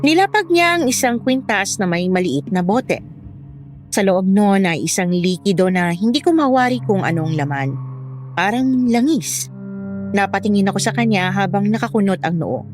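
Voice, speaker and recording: A woman's voice: mid-range voice, animated, moderate pace. A young woman giving scripted first-person narration of a horror story. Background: music.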